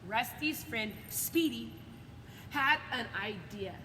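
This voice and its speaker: A woman's voice: a little high, lively intonation, slow. A woman reading a children's story aloud and adding simple, encouraging asides like a teacher. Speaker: coach reading a picture book to students. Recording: echoey reverberant room with loud background noise.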